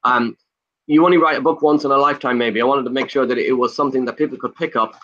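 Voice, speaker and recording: An Irish man on a video call makes an eager sales pitch, rattling off lists in quick bursts. His voice is bright and forceful, but the call audio is dull and lo-fi. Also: fairly even intonation. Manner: fast, pushy, lists things off in rapid bursts. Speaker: guest, property investor. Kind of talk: enthusiastic sales pitch in conversation.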